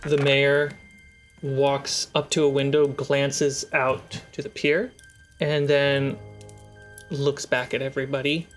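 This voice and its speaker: A man narrating a tabletop role-playing game as game master, describing scenes in a slow, measured way with occasional fillers. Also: mid-range voice, little pitch movement, soft background music.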